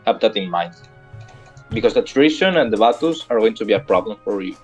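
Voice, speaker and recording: A man playing a strategy game online, talking haltingly and searching for words, often clearing his throat. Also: lowish voice, animated, soft background music. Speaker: fellow player. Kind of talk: casual multiplayer voice chat.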